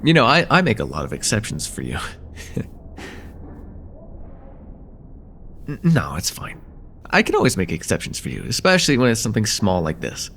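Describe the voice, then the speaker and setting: A man's voice: on the low side, huge pitch swings, quick pace, vocal fry, fairly bright tone, slight background noise, exaggerated voice. A young man playing a friendly, slightly nervous college student in a casual, stammering slice-of-life ASMR roleplay chat. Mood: shy, hopeful. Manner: hesitant, stammering, self-deprecating, casual.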